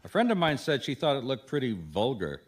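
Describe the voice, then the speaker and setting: A man playing a wry, persistent private detective in a radio mystery, talking in measured, dry wisecracks. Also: medium pitch, big pitch swings. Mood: dry, probing.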